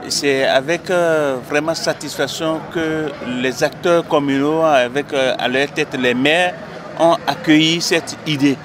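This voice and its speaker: A man with a Beninese French accent speaks in a slightly high voice against noticeable outdoor traffic noise. He explains things formally, like an official being interviewed, and often pauses in the middle of a phrase to find his wording. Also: steady tone. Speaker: president of an intermunicipal association, interviewee. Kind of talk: interview answers, explaining a project. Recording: noisy outdoor urban recording, traffic behind.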